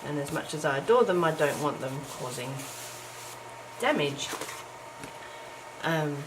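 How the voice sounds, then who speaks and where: Middle-aged woman, low croaky morning voice, chatty crafting vlogger full of ums and little laughs, camera-mic sound with audible hiss. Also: lively intonation, a little slow. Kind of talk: casual chat while crafting. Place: home, early morning. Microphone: camera's built-in mic. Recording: noisy home camera recording.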